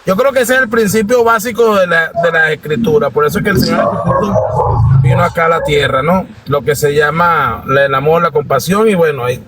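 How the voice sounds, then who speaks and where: High-pitched Venezuelan man, halting and respectful. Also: heavy background noise. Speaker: guest participant in a live discussion. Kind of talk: informal spoken testimony.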